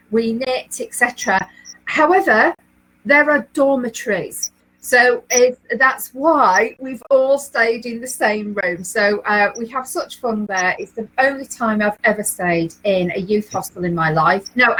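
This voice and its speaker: Chatty woman, higher voice. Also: sharp tone, poor audio. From England, Leeds area. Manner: chatty, many ums, laughs easily. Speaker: co-host of a knitting livestream. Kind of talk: casual chat, reading viewer comments.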